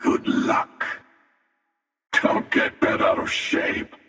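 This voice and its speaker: An actor playing a menacing, cynical spacefaring hunter in a video game, with a taunting, coolly superior manner and a processed helmet effect. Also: male voice, extremely slow, pushed and bright. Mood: wry, taunting. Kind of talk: video game character dialogue. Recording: heavily reverberant, distant, processed voice.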